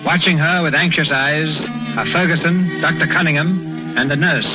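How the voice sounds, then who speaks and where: A man hosting and narrating a 1930s radio drama with formal, measured scripted delivery. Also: on the high side, steady tone. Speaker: radio show host and narrator. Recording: muffled 1930s radio broadcast over music. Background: music.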